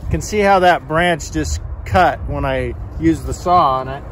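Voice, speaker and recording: A man's voice: on the high side, unhurried, very noisy. An older man in a casual outdoor tool demo, talking in a friendly, rambling way with repeated words, recorded on a camera mic in an open field. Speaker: vlogger reviewing a tool.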